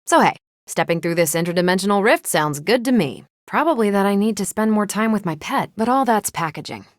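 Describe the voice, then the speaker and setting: A woman's voice: mid-range voice, expressive, brisk, no echo, clean studio sound, close to an everyday voice. A woman voicing a confident, quippy adult female superhero soldier in a video game, with a casual, dryly joking, assured manner. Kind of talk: video game character dialogue.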